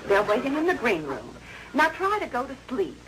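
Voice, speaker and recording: A very high-voiced nurse, brisk and firm as she manages a patient. The sitcom delivery is authoritative, on a noisy vintage broadcast recording. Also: huge pitch swings. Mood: calm, professional. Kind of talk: situation comedy acting.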